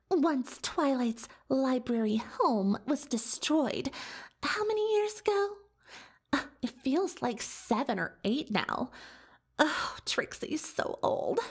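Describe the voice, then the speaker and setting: A vain, melodramatic showgirl unicorn from a cartoon world, spins her story as ASMR roleplay. Her high voice swoops widely and lingers over words at a slow pace. She is haughty and boastful, with conspiratorial asides. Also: exaggerated voice. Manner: haughty, theatrical, third-person self-reference, conspiratorial asides. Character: boastful, self-dramatising female unicorn pony showgirl magician.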